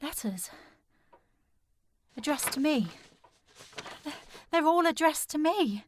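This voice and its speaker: A woman playing a distressed, determined young gentlewoman in a period audio drama, speaking formally and earnestly. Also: sits high, animated, takes it slowly, background noise. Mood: sad, hushed reading. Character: distressed, determined young gentlewoman searching for her missing sister.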